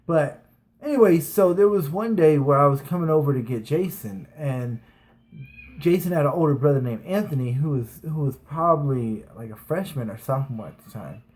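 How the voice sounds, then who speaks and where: A man with a slightly higher than average voice tells personal stories on his vlog. He talks loosely, circling back with fillers and restarts. The delivery is casual and chatty throughout. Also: lively intonation, moderate pace, darkish tone. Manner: rambling, frequent restarts and fillers, laughs while telling. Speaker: vlogger. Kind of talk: casual storytelling.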